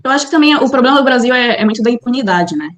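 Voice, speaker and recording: A woman's voice: fairly high pitch, expressive, rapid-fire, reverberant. A Brazilian woman with a Brazilian Portuguese accent, chatting as an interview guest over a video call.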